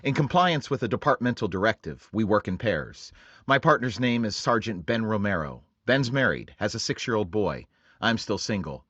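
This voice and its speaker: Terse radio-drama police sergeant, flat clipped monotone, dull dry lo-fi sound. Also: male voice, fairly low pitch, normal speed, husky, no echo, full character voice. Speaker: detective sergeant. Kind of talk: 1940s radio drama acting.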